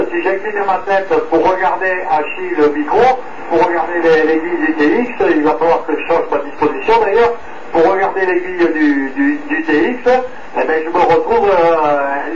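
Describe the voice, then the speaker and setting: A man's voice: fairly high pitch, echoey room, noisy background. Man, CB radio transmission, colloquial repetitive explaining, very distant, muffled sound. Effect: heard over CB radio. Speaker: amateur CB radio operator. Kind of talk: radio contact chat.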